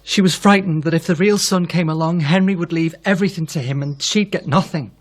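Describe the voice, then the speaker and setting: A man's voice: on the high side, brisk, close to an everyday voice. Radio drama role: impostor son, earnest, needy, guilt-laden, confessional delivery, male actor. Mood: rueful.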